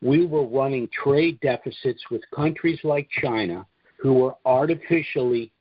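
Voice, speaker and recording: A man hosting a conference call, delivering an informal monologue briefing in deliberate phrases with emphatic pauses and a husky voice. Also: mid-range voice, dry recording. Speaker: conference call host and presenter. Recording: dull, lo-fi conference call audio.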